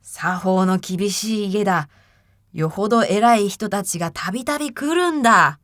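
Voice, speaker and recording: A woman voicing pompous, gullible young rich gentlemen from the city in a storybook reading, with self-assured, old-fashioned phrasing. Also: medium pitch, expressive, moderate pace, dry recording, clean studio sound, close to an everyday voice. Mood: impressed. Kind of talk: theatrical fairy-tale character reading.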